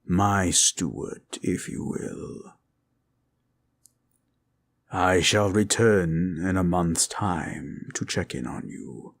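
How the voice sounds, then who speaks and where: A man playing a gentle, protective dragon in a comfort roleplay, speaking formally and tenderly in a solemn, gravelly voice. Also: extremely slow, long pauses, exaggerated voice. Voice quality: deep, gravelly. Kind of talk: roleplay comfort audio acting.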